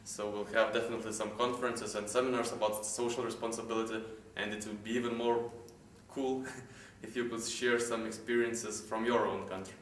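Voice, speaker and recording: Give me a relaxed man pitching an internship, with an echoey, distant sound. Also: brisk, hardly any pauses. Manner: relaxed, with small restarts and light laughs. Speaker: internship organizer. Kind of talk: casual promotional pitch. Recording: echoey room, far from mic, faint noise.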